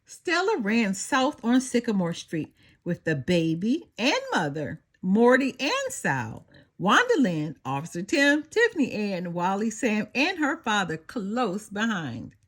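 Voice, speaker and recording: A woman reading a children's story aloud in an animated, expressive storytelling manner. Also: medium pitch, unhurried. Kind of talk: animated scripted read-aloud narration for children.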